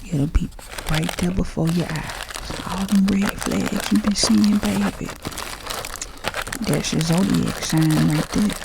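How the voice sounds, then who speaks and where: A woman with a Southern US accent doing chatty ASMR, speaking softly and half-whispering like a folksy auntie giving advice. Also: fairly low pitch, fairly even intonation, slow pace, vocal fry, noisy background. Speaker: ASMR creator packing orders.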